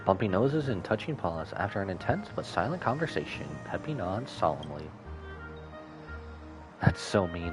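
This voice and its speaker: A man livestreaming a game, giving playful commentary and reading dialogue aloud. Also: on the low side. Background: faint game music.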